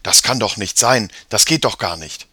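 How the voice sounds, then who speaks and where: A man's voice: fairly low pitch, lively intonation, unhurried, pushed and bright, dry recording, heavily acted. A man voicing a sceptical, puzzled young boy in a children's audiobook, speaking emphatically. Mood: alarmed. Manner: emphatic, exclaiming.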